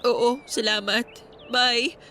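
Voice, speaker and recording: A woman's voice: fairly high pitch, fairly even intonation, slow pace, noisy background. A woman playing an ashamed, fearful teenage schoolgirl in a Tagalog radio drama, meek and hesitant. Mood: downcast, resigned. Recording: faint music behind the voice.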